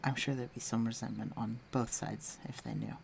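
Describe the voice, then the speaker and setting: Man playing a teasing, gravelly flirtatious villain. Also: medium pitch, big pitch swings, normal speed, a little hiss or hum. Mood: flirtatious, wry. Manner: teasing, coaxing, breathy murmurs. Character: flirtatious teasing male villain, secretly tender and concerned. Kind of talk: intimate scripted audio roleplay to the listener.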